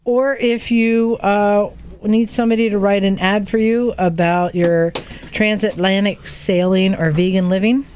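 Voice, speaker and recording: A woman's voice: mid-range voice, fairly even intonation, measured pace, a little mellow. A woman podcast host chatting casually with a group in an amused way. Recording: noticeable room noise behind.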